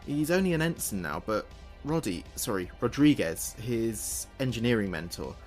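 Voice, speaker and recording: British male actor as earnest starship comms officer, sci-fi audio drama. Measured, precise delivery, medium pitch, faint music behind. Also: sing-song, close to an everyday voice. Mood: affectionate. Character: earnest male spaceship communications officer recording logs.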